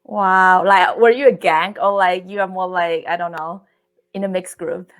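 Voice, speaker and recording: A woman's voice: on the low side, steady tone, moderate pace. A woman from Taiwan, an English speaker, hosting a casual live-stream interview in a chatty, upbeat way full of fillers.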